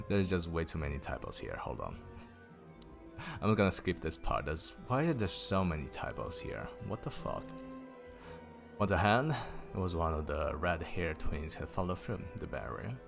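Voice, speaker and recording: A man streaming a casual story reading, raising his pitch for the characters and stopping to laugh and swear at typos. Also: big pitch swings, measured pace, gravelly, over music. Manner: lifts pitch for quoted characters. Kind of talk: casual livestream book reading.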